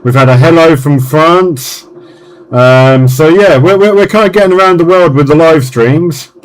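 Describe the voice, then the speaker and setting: A man's voice: a little high, normal speed. A man hosting a CB radio net in casual chat, often stumbling and repeating his words, with a polite manner. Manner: hesitant, repeats words and phrases; polite, apologetic. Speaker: CB radio net host and live streamer. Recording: very noisy CB radio session with engine-like hiss. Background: loud radio noise and clicks.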